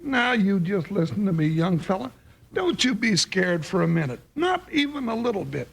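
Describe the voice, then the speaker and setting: A big, bumbling old cowboy sidekick from a Western radio play. The voice is very high and cracked, full of gravel, and swoops around in a folksy, blustery drawl. He comes across as kindly and homespun. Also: very animated, moderate pace, exaggerated voice, poor audio. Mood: reassuring. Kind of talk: 1950s Western radio acting.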